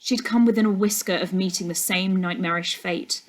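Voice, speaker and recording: A woman's voice: lowish voice, normal speed. A woman narrating a children's novel as a teacher reading to a class. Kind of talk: storybook narration.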